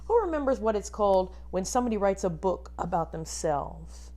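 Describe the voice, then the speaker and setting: A woman teacher reading a picture book aloud to children expressively, pausing to ask them questions and point things out. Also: mid-range voice. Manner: animated, addresses young children with questions.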